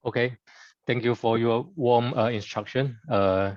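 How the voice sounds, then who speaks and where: A man from Taiwan, giving a conference talk over a video call in halting English with many fillers and restarts. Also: mid-range voice, steady tone, no echo, muffled recording. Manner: halting, hesitant with many fillers and broken grammar. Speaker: guest speaker surgeon.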